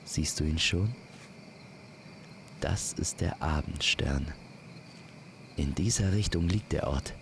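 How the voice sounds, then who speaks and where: A man telling a scripted fantasy story as an immersive campfire narrator, speaking gently and poetically to the listener. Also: very deep voice, gravelly, a little hiss or hum. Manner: slow, gentle, poetic delivery. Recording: constant night insect and nature ambience. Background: crickets and forest night sounds.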